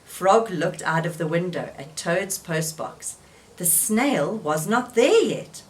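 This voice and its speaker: A woman reading a children's storybook aloud, gentle and expressive. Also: on the low side, takes it slowly. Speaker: storybook reader.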